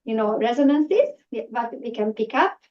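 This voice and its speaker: A woman's voice: a little high, lively intonation, moderate pace. A woman from Hungary with a Hungarian accent, giving a public talk to a live audience with many fillers and restarts. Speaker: presenter. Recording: distant, dull lo-fi room recording.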